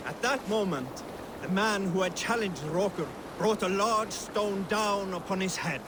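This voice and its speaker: A man's voice: high-pitched, unhurried. A man playing a Viking raider who tells a boastful tale in a dramatic storytelling style, in a video game. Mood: bold, theatrical. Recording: loud water and ship noise behind.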